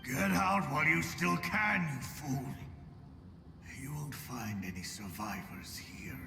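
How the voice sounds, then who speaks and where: An actor playing a weary, gruff male survivor in a video game, resigned and dismissive, with a gravelly murmur. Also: on the high side, over music. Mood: bitter warning. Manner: slow, heavy, dismissive. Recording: echoey, long reverberant tail.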